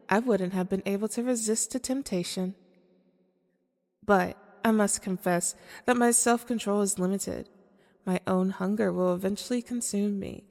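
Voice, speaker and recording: A woman playing a manipulative, seductive female demon in an ASMR audio roleplay, speaking formally and deliberately in a coaxing way. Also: mid-range voice, a little mellow, lightly acted. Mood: solemn, hungry. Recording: long cavernous reverb.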